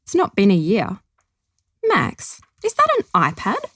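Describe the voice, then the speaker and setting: A woman reading the part of a young woman who is teasing and excited, her voice pitched well above her own. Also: unhurried, husky, no room sound, clean studio sound, full character voice. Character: lively young woman, cheerful book lover.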